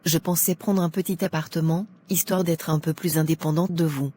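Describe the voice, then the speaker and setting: A woman's voice: sits low, fairly even intonation, a little fast, mellow. A woman playing a polite, patient single woman in her thirties, courteous in manner, in a cartoon. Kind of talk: cartoon voice acting.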